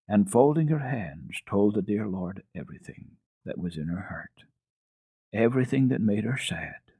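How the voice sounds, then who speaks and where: Male storybook narrator, low husky voice, dramatic and deliberate, close dry sound. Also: a little mellow, no room sound. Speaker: reader of children's classics on a radio program.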